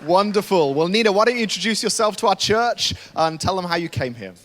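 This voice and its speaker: A man with a high voice leads a baptism service with eager, encouraging energy. A faint murmur of the congregation and some noise sit behind him. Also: expressive, a little fast, crowd noise. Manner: enthusiastic, encouraging. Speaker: pastor leading baptisms. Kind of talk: upbeat church service hosting.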